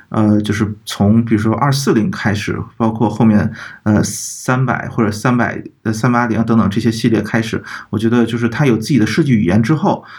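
A man co-hosting a podcast, explaining audio gear in a measured, hedging, conversational way. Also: fairly low pitch, a little mellow, slightly rough. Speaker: podcast co-host. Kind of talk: casual discussion of audio gear.

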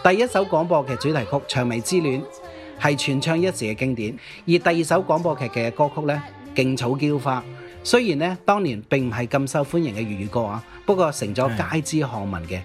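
A middle-aged man with a Hong Kong accent hosting a music-history talk show, telling stories in an animated way with exclamations and rhetorical questions. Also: mid-range voice, fairly quick. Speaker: radio DJ and music history podcast host. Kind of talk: conversational music-history commentary.

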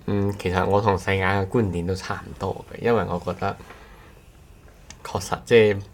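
Low-voiced man, casual co-host. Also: fairly even intonation, moderate pace, slight background noise. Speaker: podcast co-host. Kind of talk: casual chat.

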